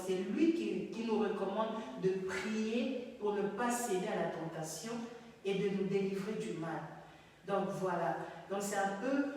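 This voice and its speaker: Preacher heard far off in an echoey hall. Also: mid-range voice. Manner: repeats words and restarts, many "donc, euh" fillers. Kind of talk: preaching exhortation, then announcing. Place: TV studio set.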